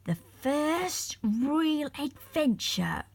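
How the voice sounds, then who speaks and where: A woman's voice: high-pitched, sing-song, drawn-out words, creaky, dry recording, voice pushed up, full character voice. A woman voicing a timid, wide-eyed young girl in a children's storybook, with childlike expression. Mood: delighted.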